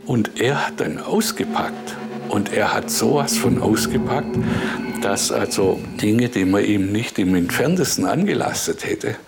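A man's voice: fairly low pitch, expressive, a little slow, vocal fry. A man giving a spontaneous account in an interview. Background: loud music.

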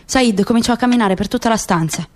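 Female narrator for literary radio theatre, high voice, slight noise on the recording. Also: quick pace, a little mellow. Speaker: narrator, actress. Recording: faint background noise.

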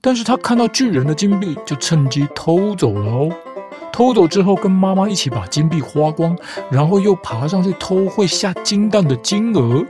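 A man's voice: high voice, expressive, normal speed. A man hosting a podcast, retelling fairy tales with playful, teasing commentary. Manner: playful, teasing, mock-reasonable. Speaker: podcast host. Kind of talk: storytelling commentary. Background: guitar music.

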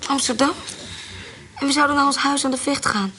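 A woman's voice: high voice, brisk, bright, forceful tone, a little hiss or hum. A woman playing an anxious, devoted companion in a Dutch radio play. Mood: anxious, clinging.

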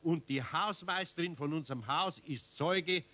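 A man's voice: on the high side, moderate pace, bright, forceful tone. An actor playing a kindly, upright, middle-aged Bavarian master craftsman, plain-spoken, in a 1970s children's radio play. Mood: indignant.

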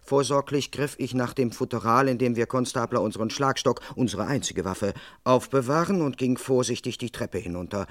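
An actor playing a dutiful London police constable in a crime radio play, speaking in a measured, formal, precise and officious way. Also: male voice, mid-range voice, no echo, natural voice. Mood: measured, suspenseful. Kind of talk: German crime radio play, first-person narration and dialogue.

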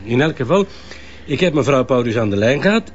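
A man acting a level-headed detective sidekick who talks in a measured, probing way. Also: medium pitch, big pitch swings, lightly acted. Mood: matter-of-fact, questioning. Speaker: actor in a crime drama. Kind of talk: naturalistic crime drama dialogue. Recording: dull lo-fi audio, faint noise.

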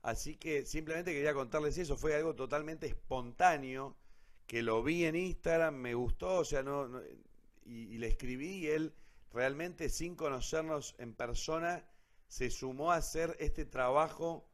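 A man's voice: fairly high pitch, normal speed. Argentine man hosting, casual and unscripted. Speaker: host of a cultural radio theatre programme.

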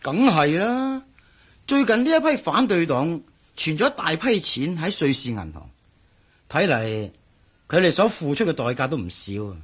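An actor playing a worried, blustery police officer in a radio drama, speaking Hong Kong Cantonese with emphatic, sighing delivery. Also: male voice, fairly high pitch, very animated, normal speed, fairly bright tone, husky, full character voice. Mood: serious, worried.

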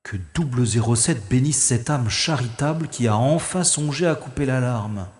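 A man voices a composed special agent who narrates his own adventure in the first person, dropping dry, wry asides. His voice sits at a middle pitch, and the recording has a roomy echo with a long reverb and music underneath. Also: normal speed, natural voice. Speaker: lead character and narrator.

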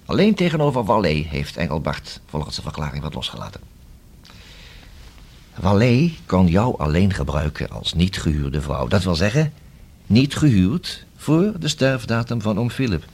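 Deep, husky police inspector. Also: male voice, very animated, moderate pace, darkish tone, heavily acted. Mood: explaining, intent. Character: male police inspector, probing, courteous but blunt. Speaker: actor in a radio play. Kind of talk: Dutch radio drama dialogue.

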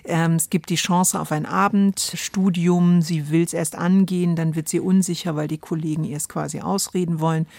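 A woman's voice: lowish voice, fairly even intonation, moderate pace, creaky, few pauses, darkish tone, dry recording. A woman co-hosting a conversational discussion, often searching for words mid-sentence. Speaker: podcast co-host. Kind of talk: conversational radio drama discussion. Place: dry studio.

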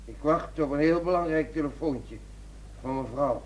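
A distraught middle-aged husband in a radio play, acted by a man, with a fairly high voice. He is confused and obsessed with the past, pleading insistently over a faintly noisy recording. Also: moderate pace, a little mellow, close to an everyday voice. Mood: fixated, withdrawn. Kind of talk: radio drama acting.

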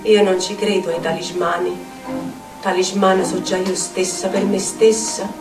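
An actress playing a tormented, eccentric Neapolitan outcast haunted by spirits, in a theatrical monologue with a Neapolitan accent and incantatory, deliberate repetitions. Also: female voice, on the low side, level tone, natural voice. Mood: brooding, weary. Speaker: stage actress. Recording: echoey theatre audio, distant, music behind.

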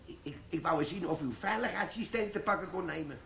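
A sly, boastful army sergeant in his sixties, played by a man, scheming to get into a vault. He stammers and chuckles at his own cleverness. The radio-play recording carries a steady hiss of noise. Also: a little high, fast talker, gravelly, reverberant. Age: 60s.

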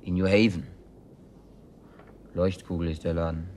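Reluctant tough-guy drifter for radio drama, lowish male voice, dry and hesitant, light background ambience. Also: steady tone, measured pace, a little mellow, a little hiss or hum. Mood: wry. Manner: laconic, wry, hesitant. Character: gruff, sceptical ex-athlete turned barman, reluctant drifter. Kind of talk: German radio drama dialogue.

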